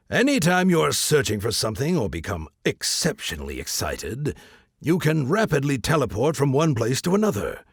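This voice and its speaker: A man's voice: mid-range voice, gravelly, breathy edge, no room sound, clean studio sound, heavily acted. A man playing a wry, theatrical game announcer who reads out perks in an audiobook. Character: dry male game announcer reading out perks.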